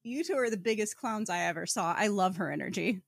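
A woman's voice: medium pitch, a little fast, gravelly, slightly rough, no room sound, studio quality. A woman co-hosting a podcast, giving casual comedic book commentary in a wry, emphatic, slangy manner. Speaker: podcast co-host.

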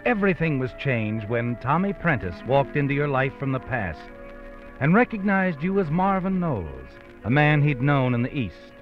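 An actor playing an ominous, all-knowing narrator who addresses the hero in an old radio thriller. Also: male voice, medium pitch, lightly acted. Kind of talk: dramatic second-person narration, old-time radio. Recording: muffled old radio recording.

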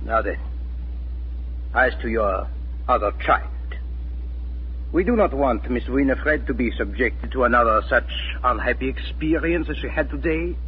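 A man's voice: mid-range voice, animated, a little slow, pauses often, lightly acted. A man playing a cold, menacing Nazi occupation officer in 1940s radio drama, issuing commanding, formal threats. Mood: icily formal. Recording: scratchy, tinny 1940s radio recording.

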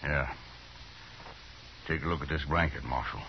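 An American actor playing a stubborn cattle rancher in a 1950s radio Western, with a clipped, firm, terse delivery. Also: male voice, a bass voice, normal speed, creaky. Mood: grimly determined. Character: stubborn, stern cattle rancher, adult man. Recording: muffled, noisy 1950s radio recording.

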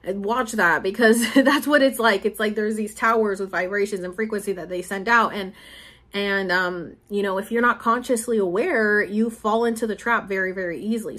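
A woman's voice: mid-range voice, fairly bright tone. A young woman from Puerto Rico giving a rambling, filler-heavy monologue update, repeating herself for emphasis. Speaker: spiritual vlogger.

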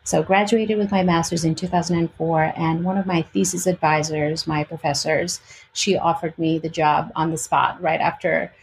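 A woman in her forties speaks with a slight Albanian-Greek accent. Her voice sits a little low as she tells her story casually as an interview guest. There is faint noise behind her. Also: flat delivery, moderate pace, low-quality recording. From Albania and Greece.

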